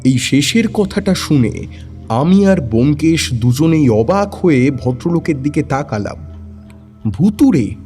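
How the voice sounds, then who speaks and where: A man's voice: on the high side, lively intonation, measured pace, warm tone, soft background music. A man narrating a scripted first-person detective story.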